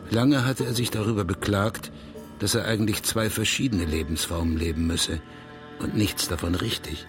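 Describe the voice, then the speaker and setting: An actor playing a detached narrator of a bleak life in a literary audio drama, measured and bitter. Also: male voice, fairly low pitch, close to an everyday voice. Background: music.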